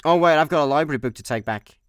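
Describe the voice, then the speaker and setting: A man's voice: a little high, quick pace, smooth, dry recording, clean studio sound, natural voice. A man with a Scottish accent playing a laid-back, dim, easily distracted slacker in a comedy sci-fi radio play. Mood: easygoing. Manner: casual, offhand.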